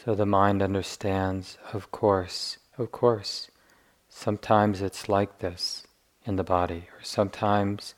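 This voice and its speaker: A man guiding a meditation as a teacher, speaking gently and deliberately with long pauses. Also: deep voice. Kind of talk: guided meditation instruction.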